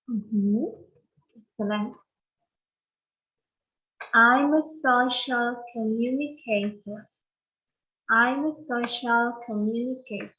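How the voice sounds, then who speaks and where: Slow, patient Peruvian woman teacher. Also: a little high, muffled recording. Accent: Peruvian Spanish. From Peru. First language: Spanish. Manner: slow, patient, repeats phrases for learners. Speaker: English teacher. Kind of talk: online English lesson with repeat-after-me drills.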